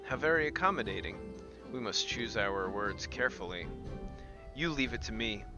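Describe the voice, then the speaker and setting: A man's voice: fairly low pitch, animated, moderate pace, lo-fi sound, over music, natural voice. A man voicing an eager, chatty young woman adventurer while reading fantasy game dialogue aloud. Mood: eager, musing.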